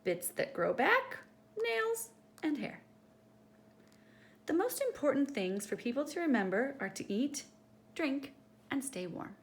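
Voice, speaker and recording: A woman reading a picture book aloud to children in an expressive, teacherly way, speaking directly to her young listeners. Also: high voice, measured pace, long pauses.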